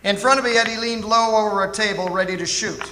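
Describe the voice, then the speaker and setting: High, bright-voiced detective narrator played by a man. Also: steady tone, quick pace, slight background noise. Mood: storytelling. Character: hard-drinking war veteran private detective narrating his case. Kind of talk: scripted first-person radio play narration. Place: live stage in a theatre. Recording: echoey theatre hall, music behind.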